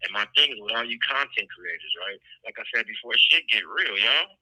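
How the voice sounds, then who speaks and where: A man's voice: fairly low pitch, animated, brisk, no echo. A middle-aged man in a casual phone interview, speaking over a telephone line in a brash, slangy, defiant manner. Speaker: jailed interviewee on a phone call. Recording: dry, sharp jail phone call.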